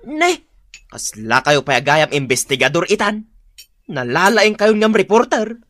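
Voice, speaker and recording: Low-voiced, scolding village spouse. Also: female voice, sing-song, measured pace. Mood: sarcastic. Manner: scolding, sarcastic. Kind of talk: comedy radio drama acting.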